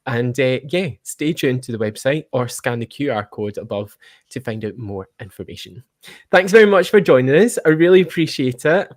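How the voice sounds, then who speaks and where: A Scottish man hosting a casual live chat in an excitable, chatty manner. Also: mid-range voice, moderate pace, dry recording, studio quality. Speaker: podcast host and audio drama producer.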